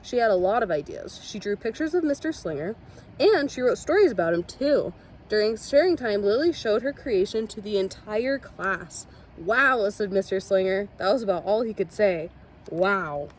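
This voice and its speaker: A woman reading a children's picture book aloud with expressive storytelling. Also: mid-range voice, normal speed, slight background noise. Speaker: storybook reader.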